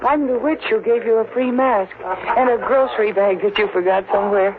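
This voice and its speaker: A woman's voice: fairly high pitch, a little fast, a crowd in the background, lightly acted. An actress playing a sweet, caring young woman in a 1940s radio drama, earnest and direct. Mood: friendly, eager. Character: sweet, caring young girl in witch costume. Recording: tinny noisy old radio broadcast.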